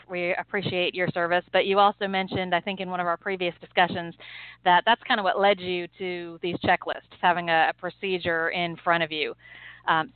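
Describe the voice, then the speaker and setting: A woman's voice: on the low side, smooth, no room sound. An American woman hosting a podcast interview in a polite, agreeable conversational style. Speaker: podcast host. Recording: dry, narrow phone-like sound.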